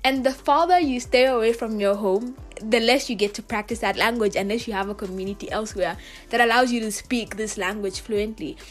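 Young Kenyan woman, earnest, chatty student vlogger, slightly high voice over soft music. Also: a little fast. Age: young adult. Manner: chatty, full of "like" and "you know", earnest. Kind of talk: casual reflective monologue to camera.

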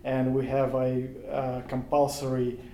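A man with a Russian accent, his first language Russian, answers as a podcast guest, slowly building his thoughts and circling back to restart phrases. His voice sits in an ordinary middle register. The recording has a noticeably echoey room sound. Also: medium pitch, monotone, dark tone. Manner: thoughtful, hesitant, searches for words with many restarts. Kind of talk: casual podcast interview.

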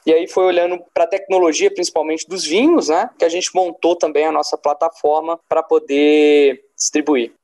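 A man's voice: fairly high pitch, steady tone, normal speed, few pauses, a little mellow. A man with a Minas Gerais accent, an interview guest who explains his business in an easygoing way and often tags his sentences with a question. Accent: Minas Gerais Brazilian. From Brazil, born in Brasília. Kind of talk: relaxed interview answers.

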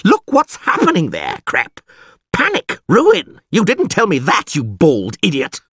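A man voicing a hot-tempered middle-aged male mayor who blusters and shouts at everyone around him. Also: medium pitch, wildly swooping pitch, measured pace, breathy edge, no echo, clean studio sound, exaggerated voice. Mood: furious.

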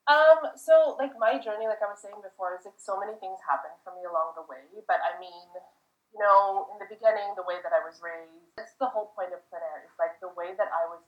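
A woman in her forties from the United States, a guest chatting in a rambling way with lots of fillers. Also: medium pitch. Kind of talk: casual interview answers. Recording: echoey, dull lo-fi sound.

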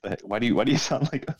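A man's voice: medium pitch, husky, no room sound. A young man co-hosting a podcast in casual, joking banter, teasing and sweary with crude humour. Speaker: podcast co-host.

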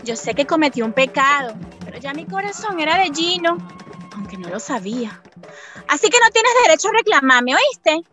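Una actriz de radionovela que interpreta a una joven venezolana nerviosa y respondona, de habla coloquial y exclamativa, con acento venezolano. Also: female voice, sits high, sing-song, normal speed, pushed and bright, soft background music, heavily acted. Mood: defensive. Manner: colloquial, exclamatory. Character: nervous, feisty, plain-spoken young Venezuelan woman. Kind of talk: radio soap opera acting.

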